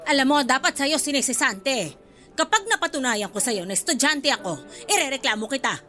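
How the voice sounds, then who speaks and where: A woman's voice: sits high, expressive, a little fast, bright, forceful tone, faint music behind. An actress in a Filipino radio drama playing an indignant woman near sixty, a new college freshman who is combative, exasperated and demanding. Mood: angry, insistent.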